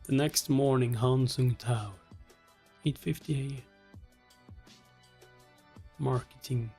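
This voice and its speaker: A man's voice: takes it slowly, creaky, warm tone, slightly rough, soft background music. A man reading webtoon dialogue and captions aloud, acting out the lines dramatically as he goes. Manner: acts out each line, shifting pitch between characters. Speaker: reaction channel host reading a webtoon aloud.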